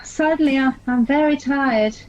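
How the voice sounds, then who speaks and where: Woman acting a high-voiced, emotional young woman. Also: a little slow, a little mellow. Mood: dazed, weary. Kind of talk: audio play acting. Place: recorded at home during lockdown. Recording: muffled home recording.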